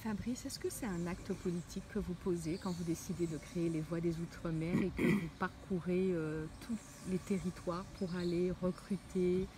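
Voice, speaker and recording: A woman host asking an interview question in a soft, measured way. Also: on the low side, fairly even intonation, noisy background.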